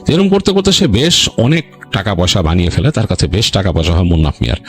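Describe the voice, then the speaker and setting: Low-pitched Bangladeshi man telling a story. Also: animated, fast, faint music behind. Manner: colloquial, rambling, explains asides as he goes. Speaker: ghost story narrator on a radio-style show. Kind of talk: informal, unscripted storytelling.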